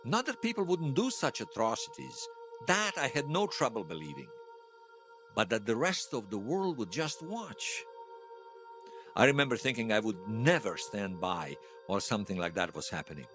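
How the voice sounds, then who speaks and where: A man's voice: fairly low pitch, sing-song, normal speed, over music. An actor playing a regretful, bitter man who is hailed as a hero, confessing his shame in an audio drama. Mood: rueful. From Bosnia. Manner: confessional, self-reproaching. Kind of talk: fiction podcast drama acting.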